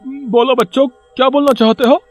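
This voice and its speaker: A man's voice: very high voice, fairly even intonation, moderate pace, soft background music. A man voicing a brave, teasing villager with a rustic, colloquial manner in a cartoon story. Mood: teasing, practical. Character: high-voiced, brave, teasing male villager.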